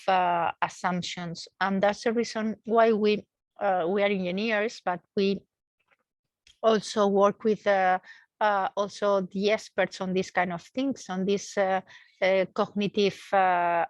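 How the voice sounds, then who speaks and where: A woman's voice: mid-range voice, no room sound. A woman research engineer giving a technical explanation in a seminar, searching for words and often repeating them as she goes.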